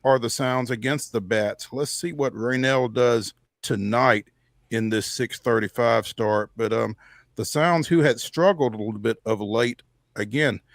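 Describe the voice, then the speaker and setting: A man hosting a sports talk show, recapping scores and standings in measured phrases with frequent pauses. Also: mid-range voice, vocal fry, no room sound, clean studio sound.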